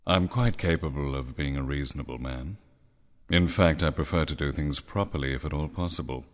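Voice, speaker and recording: An actor playing a smooth, sinister male devil who coaxes a man into trading his soul, speaking slowly and silkily. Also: very deep voice. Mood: silky menace. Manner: slow, silky, coaxing, menacing. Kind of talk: radio drama acting.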